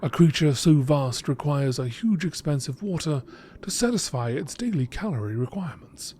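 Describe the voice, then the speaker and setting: Male narrator, nature documentary read, formal and deliberate with lively intonation, faint noise behind. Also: on the high side. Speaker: narrator reading game lore entries.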